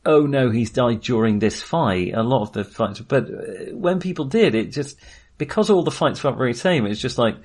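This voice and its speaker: Halting man chatting about films. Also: mid-range voice, animated, darkish tone, breathy edge. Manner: hesitant, halting, thinking aloud. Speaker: film podcast co-host. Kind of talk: casual film discussion.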